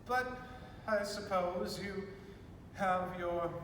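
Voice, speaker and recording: Male, acting: elderly British gentleman general, flustered and courtly, slow halting delivery, distant in an echoey hall. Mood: polite, awkward. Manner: halting, stammering, formal old-fashioned politeness. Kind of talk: student stage play acting. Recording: echoey hall, distant mic, faint rumbling noise.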